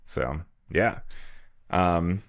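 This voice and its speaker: Male podcast co-host, deep voice, dry close sound, loose and rambling conversational delivery. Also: drawn-out words, creaky, no room sound. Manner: rambling, peppered with "like" and "you know". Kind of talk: casual chat about films.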